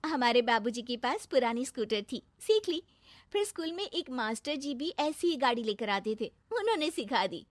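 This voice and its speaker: A woman voicing a spirited young daughter-in-law in an animated village story, cheeky and quick to answer back. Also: high voice, dry recording. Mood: innocent.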